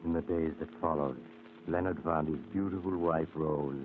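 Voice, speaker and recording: An actor playing an ominous storyteller, narrating a 1940s radio mystery in a deliberate, dramatic way. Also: male voice, very deep voice, steady tone. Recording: noisy, tinny old radio recording.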